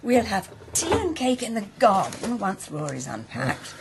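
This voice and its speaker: Genteel, animated English country wife. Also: female voice, medium pitch, unhurried, gravelly, noisy background, heavily acted. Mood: concerned. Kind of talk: radio soap acting.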